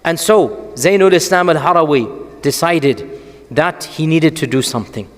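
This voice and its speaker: A man giving an animated, storytelling religious lecture and often asking the audience rhetorical questions. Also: fairly high pitch, unhurried, in a large hall. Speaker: Islamic lecturer.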